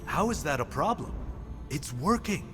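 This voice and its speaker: Questioning ally in a video game, very animated pitch, big reverb, music behind. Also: male voice, moderate pace, background noise. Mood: concerned, questioning. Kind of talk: dramatic video game cutscene acting. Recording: long hall reverb, music underneath.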